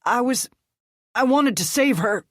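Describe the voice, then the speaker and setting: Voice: mid-range voice, lively intonation, unhurried, fairly bright tone, no room sound, studio quality, voice pushed up. A narrator voicing a cornered male vampire, cowardly yet spiteful, wheezing and sputtering in a raised voice. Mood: desperate.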